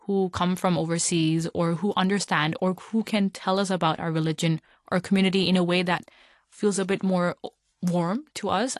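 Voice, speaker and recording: A woman's voice: on the low side, no room sound, clean studio sound. A young woman from Hong Kong speaking as an interview guest, giving a conversational, reflective account of her own life.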